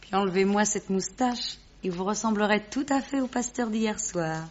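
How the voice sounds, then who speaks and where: A woman's voice: mid-range voice, normal speed, lightly acted. Woman acting a witty society lady, slightly muffled. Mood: amused, triumphant. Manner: playful, mocking, theatrical. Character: poised, witty, teasing high-society lady. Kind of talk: French radio drama acting. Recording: dull lo-fi radio recording.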